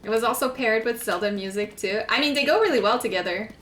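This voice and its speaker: Bright-voiced woman streaming games. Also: fairly high pitch, fast talker, a little hiss or hum. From Quebec, Canada. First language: French. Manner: chatty and rambling, with frequent "like" fillers. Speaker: game streamer. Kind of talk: casual chat while gaming. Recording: echoey room.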